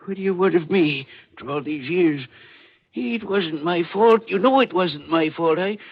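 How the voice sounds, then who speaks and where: A guilt-ridden male executioner in a 1940s radio horror drama. The voice is gravelly and swings widely in pitch, with halting, melodramatic speech. The sound is a muffled old broadcast. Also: expressive. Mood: haunted, defensive. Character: frightened, guilt-ridden former state executioner, a man.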